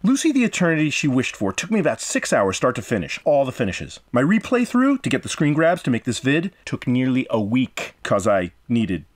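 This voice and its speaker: A man's voice: medium pitch, wildly swooping pitch, a little fast, hardly any pauses. A man narrating a scripted game review as host, in a dramatic, emphatic, florid manner.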